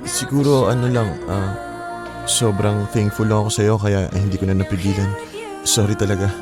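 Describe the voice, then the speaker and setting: Casting: actor as lovestruck young Filipino man, low voice, sincere and halting, radio drama over clear background music. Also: fairly even intonation, lightly acted. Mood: apologetic. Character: earnest, apologetic young man in love, Jano.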